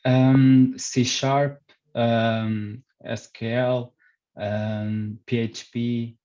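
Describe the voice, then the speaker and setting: A man's voice: mid-range voice, little pitch movement, very slow, warm tone, dull audio. A young man with a Portuguese accent, a podcast guest answering interview questions with many hesitations and restarts. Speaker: podcast guest, frontend developer.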